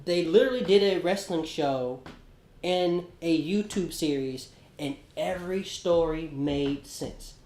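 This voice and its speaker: A man co-hosting a casual podcast, talking in an excitable, animated way and swearing casually. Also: on the high side, a little slow, reverberant. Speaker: podcast co-host.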